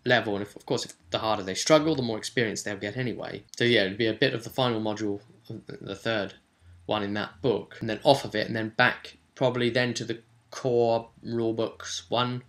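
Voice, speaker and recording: A man speaks in a slightly deep voice with a sharp, forceful edge. He hosts a vidcast as a game master, recapping tabletop role-play in an informal way. His delivery is conversational and emphatic. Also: steady tone, a little fast.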